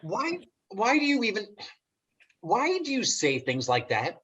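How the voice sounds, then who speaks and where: A man acts as a lovelorn, defensive guy in a stage comedy reading. He is husky and unhurried, stammering and hesitant, with lively jumps in pitch when exasperated. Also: highs cut off, exaggerated voice. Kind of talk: play table read. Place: remote video call reading.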